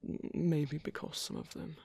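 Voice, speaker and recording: A man voicing a caring, gentle vampire priest in a whispery, breathy ASMR roleplay, speaking hesitantly and reassuringly. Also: fairly high pitch, huge pitch swings, normal speed, no room sound, full character voice. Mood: soft, caring. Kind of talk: soft-spoken ASMR roleplay.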